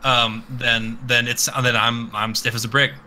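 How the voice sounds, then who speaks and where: A man with a very bright, sharp, forceful voice chats on a podcast. He talks bluntly, swears freely and rambles from point to point, with faint noise behind him. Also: mid-range voice, little pitch movement, measured pace. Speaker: podcast host. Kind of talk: casual podcast chat. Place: live multistreamed podcast.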